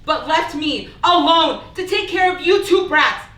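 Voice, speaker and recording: A woman playing a bitter, sharp-tongued older sister in a staged play reading, sullen and exasperated. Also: high-pitched, measured pace. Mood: angry, panicked. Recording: distant mic, echoey room, faint noise.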